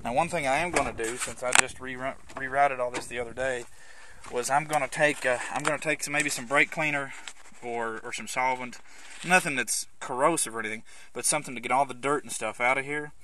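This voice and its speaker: A man's voice: mid-range voice, a little fast, pushed and bright, slight background noise. A man gives an informal, conversational hands-on walkthrough as a hobbyist presenter, rambling a little as he explains things in and around a car.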